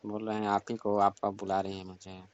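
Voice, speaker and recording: A man's voice: on the low side, monotone, a little fast, no room sound, lightly acted. A man playing an eager young man placating someone in a casual phone chat. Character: eager, impatient young man sweet-talking an auntie. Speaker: caller in a staged comic phone call. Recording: dull lo-fi phone-call audio.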